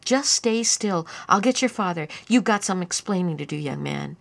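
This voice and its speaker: A woman voicing a frightened mother who gives sharp, urgent orders. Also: a little high, sing-song, moderate pace, pitched above the performer's own voice, heavily acted. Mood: alarmed stern. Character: frightened mother, stern and anxious.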